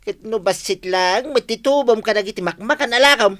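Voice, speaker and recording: A man's voice: high voice, a little fast, a bit bright. A man playing a proud, reluctant husband who comes round to helping, in an Ilocano radio comedy drama. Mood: grudging, pleading. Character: proud, reluctant husband grudgingly turning helpful.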